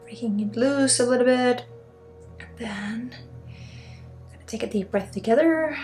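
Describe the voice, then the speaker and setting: A woman with a Swedish accent leading an ASMR energy healing session, soft-spoken with pauses and often dropping into whispers. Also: on the high side. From Sweden. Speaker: ASMR energy healer and spiritual guide. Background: music.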